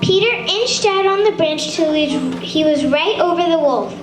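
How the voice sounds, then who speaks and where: High-voiced woman storyteller in an echoey room. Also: animated, normal speed, loud music behind the voice. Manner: stumbles over words and rereads phrases. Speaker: storyteller, puppet show narrator. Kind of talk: scripted story read aloud for a children's shadow puppet show. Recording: echoey room, far from mic, noisy.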